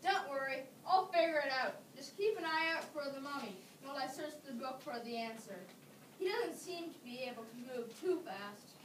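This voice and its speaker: A female reader playing a resourceful, excitable schoolboy in an amateur readers' theater performance. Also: high-pitched, normal speed. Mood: determined. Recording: distant mic in echoey, slightly noisy room.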